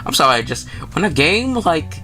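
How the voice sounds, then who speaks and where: A man's voice: on the high side, sing-song, moderate pace, sharp tone. A man hosting a gaming video, reading visual novel text aloud and reacting in informal, slangy speech. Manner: informal slangy commentary, stumbles while reading. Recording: music playing behind the voice.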